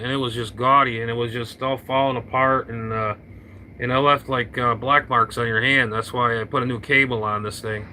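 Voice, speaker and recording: Guy rambling on his ham radio, real bright tense voice, lots of uhs and restarts, faint hiss behind. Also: mid-range voice, poor audio. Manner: rambling, halting with many fillers and restarts. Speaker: radio operator. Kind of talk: casual radio chat. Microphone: vintage hand mic on a radio.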